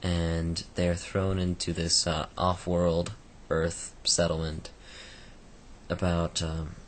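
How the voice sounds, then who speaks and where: Croaky deep-voiced man rambling. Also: monotone, takes it slowly, a little hiss or hum. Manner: rambling, many fillers and restarts. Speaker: book reviewer. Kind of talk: casual spoken book review.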